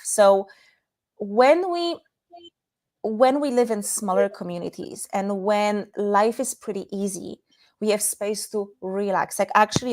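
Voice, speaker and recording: Polish-accented woman explaining in conversation. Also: medium pitch. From Poland. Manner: explanatory, illustrates points with examples. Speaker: guest intimacy and tantra expert.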